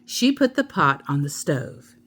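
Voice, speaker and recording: Slow, lively woman reading a storybook. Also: deep voice, husky. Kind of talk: expressive children's book read-aloud.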